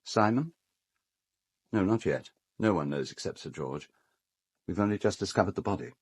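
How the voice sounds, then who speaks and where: A courteous English gentleman of middle age in a radio play. His voice sits fairly low, and he speaks in a formal, well-bred, slightly fussy and hesitant way. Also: expressive, normal speed, slightly rough. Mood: shaken, grave. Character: fussy, courteous gentleman host of an island castle. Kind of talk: British radio drama acting.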